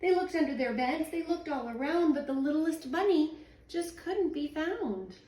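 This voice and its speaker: A woman, a teacher in her thirties, reading a children's picture book aloud at home in a sing-song, expressive way. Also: high-pitched, normal speed. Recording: echoey room, voice a little far from the mic.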